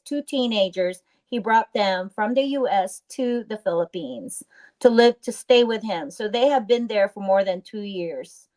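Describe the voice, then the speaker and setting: A woman talks in a casual live stream discussion. Her voice sits at an ordinary middle pitch, and she speaks informally and bluntly, with an indignant edge. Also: darkish tone, low-quality recording.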